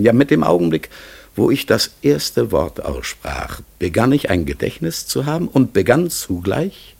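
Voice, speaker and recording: A deep, hoarse, halting talking raven. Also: male voice, exaggerated voice. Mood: searching, wistful. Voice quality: hoarse, gravelly, breathy, often dropping to a whisper. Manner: slow, halting, earnest, searching for words. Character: talking raven, polite, hesitant, forgetful, melancholy and mysterious. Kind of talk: classic German radio play acting.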